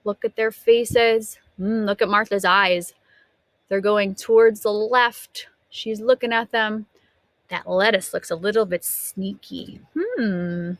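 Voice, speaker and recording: A woman's voice: medium pitch, no echo. A woman teacher reading a picture book aloud to young children expressively, stopping to put questions to her listeners. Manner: expressive, dramatic gasps and emphatic drawn-out words.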